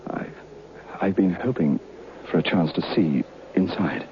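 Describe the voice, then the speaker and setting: A man playing an earnest, polite gentleman narrator in an old-time radio drama. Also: deep voice, unhurried. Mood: earnest, sympathetic. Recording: muffled, faintly noisy old radio recording.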